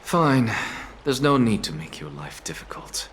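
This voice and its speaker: A man playing a proud, sardonic professor in a video game, speaking in a measured, pompous way. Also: mid-range voice, very animated, a bit bright, a little hiss or hum. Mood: bitter, resolute. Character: proud male scholar professor, aloof, sardonic, defiant. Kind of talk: dramatic video game acting.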